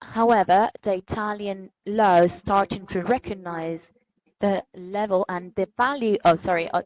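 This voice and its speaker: A woman's voice: medium pitch, a little mellow, no room sound, rough recording. Woman explaining law, dry close sound. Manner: careful, halting, with self-corrections. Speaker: legal expert.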